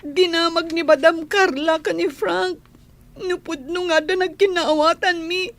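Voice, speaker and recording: Actress as young maid crying over love, super high voice, slow n wailing, radio drama. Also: female voice, fairly even intonation, a bit bright, exaggerated voice. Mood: heartbroken, tearful. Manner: tearful, wailing, drawn-out delivery. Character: heartbroken young housemaid woman, lovelorn and tearful. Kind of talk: Ilocano radio comedy drama acting.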